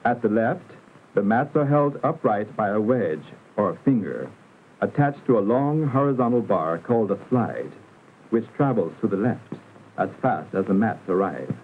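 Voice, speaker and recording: A man narrating a 1960s educational film in a formal, instructional manner with careful, measured enunciation. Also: fairly low pitch, lively intonation. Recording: muffled vintage film soundtrack, narrow band, hiss.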